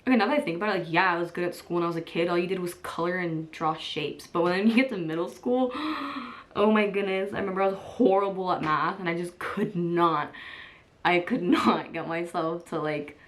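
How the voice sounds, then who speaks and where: A woman in her twenties chats to camera about herself in a relaxed vlog. Her voice sits a little low, and she rambles warmly, filling gaps with "like" and breaking into small laughs. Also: brisk. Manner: chatty, lots of "like" and "um", laughs easily. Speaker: vlogger. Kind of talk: casual get-to-know-me chat.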